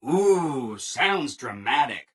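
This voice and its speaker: Eager-to-please game robot, chipper, gushing, bright forceful tone, swooping pitch. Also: male voice, big pitch swings, very slow, breathy edge, heavily acted. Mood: brightly matter-of-fact. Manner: chipper, exaggeratedly agreeable, gushing. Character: relentlessly cheerful, eager-to-please male robot assistant. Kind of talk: video game character dialogue.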